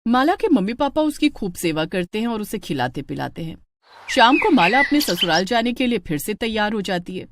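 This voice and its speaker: Female narrator for scripted family tales: medium pitch, expressive swings, dramatic storytelling delivery. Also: fairly quick, slight background noise.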